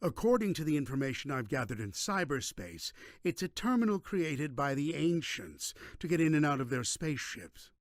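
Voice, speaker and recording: A man's voice: a little high, sing-song, normal speed, no room sound, studio quality. A man playing a pompous, theatrical middle-aged mad-scientist villain in a video game, talking with condescension. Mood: lecturing. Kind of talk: video game cutscene acting.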